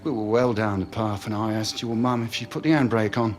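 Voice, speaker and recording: A man playing a middle-aged estranged British father, pleading and regretful, speaking earnestly and haltingly in a dramatic game cutscene. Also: lowish voice, fairly even intonation, slight background noise, close to an everyday voice.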